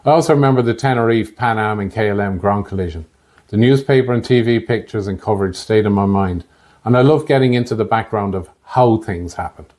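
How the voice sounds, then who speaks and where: Low-voiced Irish man narrating. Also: fairly quick, darkish tone. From Ireland. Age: late 50s. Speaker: podcast host, air traffic controller. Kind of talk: scripted narration.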